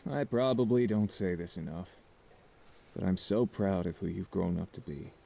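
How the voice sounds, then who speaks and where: A low, weary scientist father. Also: normal speed, gravelly, dark tone. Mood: tender farewell. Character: weary, remorseful scientist father confessing to his son. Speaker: game character in recorded message. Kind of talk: dramatic visual novel acting.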